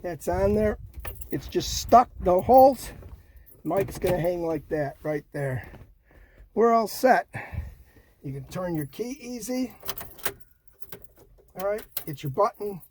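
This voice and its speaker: Older man, slightly high voice, DIY vlog host, folksy short phrases, noisy car interior. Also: animated, slow, pauses often, darkish tone. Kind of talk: casual hands-on install demonstration. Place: inside a parked Jeep.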